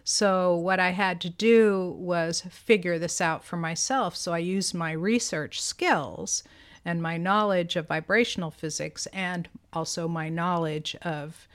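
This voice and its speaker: Lively older woman telling stories and laughing. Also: fairly low pitch, unhurried, clean tone. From United States. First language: Greek. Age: 70s. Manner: animated storyteller, laughs often, acts out quoted voices. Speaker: podcast co-host. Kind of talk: casual conversation.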